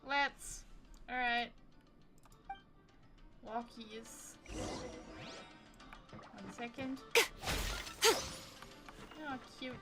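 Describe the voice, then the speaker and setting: A woman giving casual live commentary as she plays a game. Her voice is on the high side and she talks slowly, in playful, offhand bits. Game music is clearly heard behind her. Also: steady tone. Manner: playful, short offhand reactions, swears. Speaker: game streamer.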